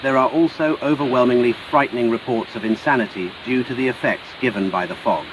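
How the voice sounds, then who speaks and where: A man's voice: medium pitch, quick pace, natural voice. A man with a British accent playing a grave TV emergency announcer, reading formal, urgent scripted news over hissy audio.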